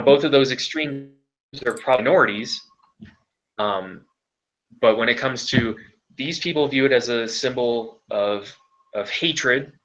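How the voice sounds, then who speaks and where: Bright-toned man, measured and hesitant. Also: medium pitch, dull audio. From Hawaii. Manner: measured, hesitant with frequent pauses. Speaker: guest, history video creator. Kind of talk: podcast discussion.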